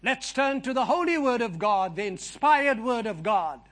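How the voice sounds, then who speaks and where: A man's voice: extremely high pitch, lively intonation, moderate pace, fairly bright tone. A man whose first language is Afrikaans, preaching and praying fervently in a church, with chatty asides to the people there. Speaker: visiting preacher. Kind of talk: sermon and prayer.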